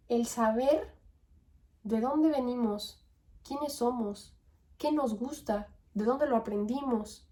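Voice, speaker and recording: A woman's voice: on the high side, mellow. A young woman with a Mexican accent and a slightly raised voice talks through her thoughts as a podcast host. Her delivery is conversational and musing, full of little tag questions and fillers. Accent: Mexican Spanish. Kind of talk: reflective monologue.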